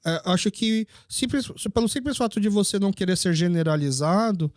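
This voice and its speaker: A man hosts a relaxed sit-down conversation. He has a notably high voice for a man. He tells his stories with lively, acted-out dialogue, and the sound is close and dry. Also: a little fast, dry recording. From Brazil. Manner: animated storyteller who acts out dialogue. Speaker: podcast host. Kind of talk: casual conversational interview.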